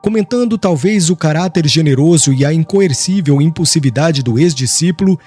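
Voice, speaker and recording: A man's voice: fairly high pitch, husky. A man narrating an audiobook in a formal, literary and dramatic scripted style. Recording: soft music underneath.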